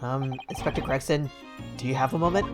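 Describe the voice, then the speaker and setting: A woman's voice: extremely low pitch, a little fast, music in the background. Woman reading as a courteous, deferential game investigator. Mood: polite. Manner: courteous, formal. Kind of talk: reading video game dialogue in character.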